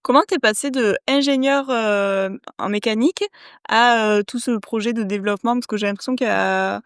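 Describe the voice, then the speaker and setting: A woman hosting a podcast, reading a scripted introduction and then asking her guest gentle questions. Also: fairly high pitch, normal speed, clear, resonant tone. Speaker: podcast host, naturopath.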